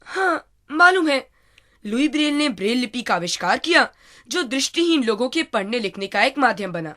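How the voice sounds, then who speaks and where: A woman's voice: a little high, very animated, moderate pace, fairly bright tone. A woman voicing a cheeky, eager, confident young sidekick in scripted radio banter.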